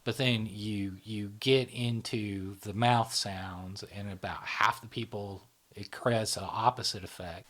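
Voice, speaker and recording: Low-voiced man explaining casually. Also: fairly even intonation, measured pace, few pauses, fairly bright tone. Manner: explanatory, tags sentences with "right?" and "like". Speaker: host, channel founder. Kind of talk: casual podcast conversation.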